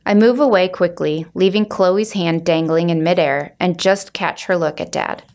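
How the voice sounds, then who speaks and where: A woman's voice: fairly low pitch, moderate pace, darkish tone. A woman host reading a children's novel aloud for a library podcast, then chatting casually at the end. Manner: friendly, chatty outro.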